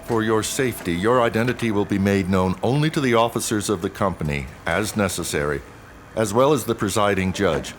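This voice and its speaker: A man's voice: lowish voice, brisk, a little hiss or hum, lightly acted. An actor playing a formal, officious male lieutenant in a dystopian radio drama, clipped and procedural. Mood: official, detached.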